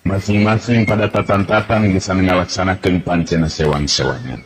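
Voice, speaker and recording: Deep voiced guy telling a folk tale, slow and descriptive, old echoey radio with hiss. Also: fairly even intonation. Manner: measured, descriptive. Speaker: storyteller. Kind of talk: traditional radio storytelling narration. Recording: roomy, hissy old radio recording.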